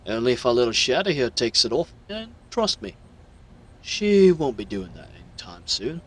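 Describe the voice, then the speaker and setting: A man's voice: mid-range voice, sing-song, moderate pace, a bit bright. A man voicing a smug, mocking villain with theatrical, condescending delivery in an audio roleplay. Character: smug, mocking male villain crime broker.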